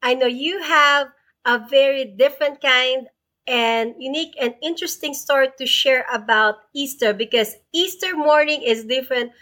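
A woman hosting a podcast, welcoming her guest with excitement. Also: sits high, normal speed. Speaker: podcast host. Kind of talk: podcast interview.

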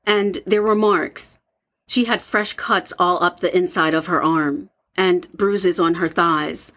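A woman's voice: fairly low pitch, steady tone, takes it slowly, fairly bright tone. An actress playing a young woman, a worried and defensive roommate with a hesitant manner, in an audio drama. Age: young adult. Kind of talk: naturalistic audio drama acting.

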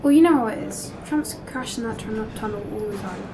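A woman's voice: fairly high pitch, brisk, natural voice. A woman playing a chatty, sceptical railway worker in an amateur audio drama. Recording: clear background noise and ambience.

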